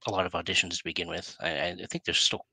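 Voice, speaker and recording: Middle-aged male interview host. Low, crisp-edged voice, close dry headset sound, informal halting questions. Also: fairly even intonation, sharp tone, dry recording. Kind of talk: casual interviewing. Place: plain room indoors. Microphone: headset on a video call.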